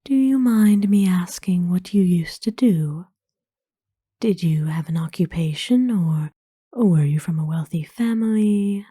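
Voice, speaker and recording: Casting: woman as ancient vampire noblewoman for ASMR roleplay, very dark soft tone, breathy whisper, kind and formal, unhurried. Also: lowish voice, animated, no room sound, clean studio sound. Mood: curious, soft. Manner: formal, slow, tender, hesitant pauses. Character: kind, courtly centuries-old female vampire owner, gently doting. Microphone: close dry microphone.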